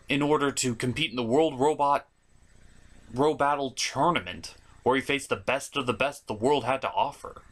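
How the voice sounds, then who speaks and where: A man's voice: medium pitch, fairly bright tone. A man hosting an enthusiastic scripted cartoon review, emphatic and blunt with casual asides. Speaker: host of a cartoon review show.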